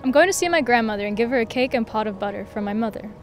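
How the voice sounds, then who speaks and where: A woman's voice: on the high side, expressive, racing, soft background music, lightly acted. A woman voicing an innocent, trusting little girl in a storybook telling.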